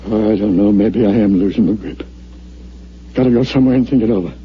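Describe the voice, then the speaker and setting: A man's voice: fairly low pitch, fast talker, lightly acted. An actor playing a sarcastic, wisecracking, beaten-down veteran city editor in his fifties, in a 1950s radio drama style. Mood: dejected, confused. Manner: sardonic, theatrical, wisecracking. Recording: muffled old radio recording with hiss.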